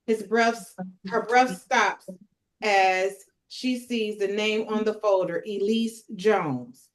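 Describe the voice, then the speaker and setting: Medium-pitched woman reading stage directions. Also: slow pace, rough recording. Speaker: narrator and table read leader.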